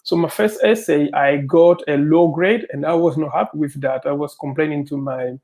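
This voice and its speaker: A man's voice: a little high, moderate pace, a little mellow. A man whose first language is Portuguese, an international student on a panel, speaking hesitantly and searching for words. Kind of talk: panel discussion.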